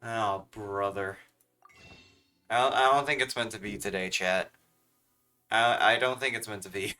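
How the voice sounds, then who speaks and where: A man's voice: fairly low pitch, measured pace, sharp tone, soft background music. A young man giving casual livestream commentary as he plays a game. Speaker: game streamer.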